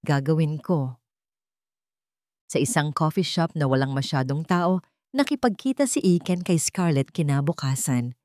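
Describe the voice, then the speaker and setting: A woman's voice: deep voice, animated, moderate pace, a little mellow, no room sound, clean studio sound. A woman storyteller giving a scripted, dramatic narration of a romance story.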